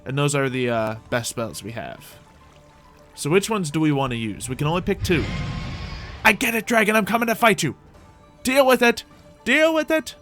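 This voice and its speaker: A man commentating on his own video game play, thinking aloud in an animated way with playful exclamations. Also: medium pitch. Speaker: gaming YouTuber playing a Minecraft roleplay series. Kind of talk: gameplay commentary. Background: background music.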